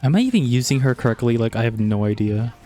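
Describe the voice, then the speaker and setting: A man's voice: mid-range voice, expressive, fast, dark tone, soft background music. A man streaming a video game and chatting casually as he plays. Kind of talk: casual chat while playing a game.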